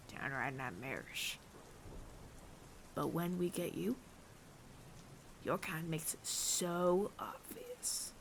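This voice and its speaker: Man acting a gravelly, slow-talking bounty hunter. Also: high-pitched, pushed and bright, a little hiss or hum, exaggerated voice, low-quality recording. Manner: slow, deliberate, taunting, with long dramatic pauses. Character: male bounty hunter of androids, menacing, world-weary, taunting. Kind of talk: ASMR audio roleplay, addressing the listener.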